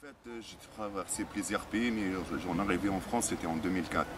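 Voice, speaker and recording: A middle-aged man from Algeria with an Algerian accent, a native Arabic speaker, answering interview questions haltingly with many fillers and restarts. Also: low-pitched. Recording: distant mic with street noise.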